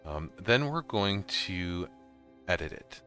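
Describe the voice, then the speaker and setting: A man with a low, forceful voice hosts an informal how-to for gamers. He talks through each step in a casual way, with a little music behind him. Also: sing-song, slow. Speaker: host of a gaming tutorial series. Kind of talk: casual software tutorial narration over slides and screen capture.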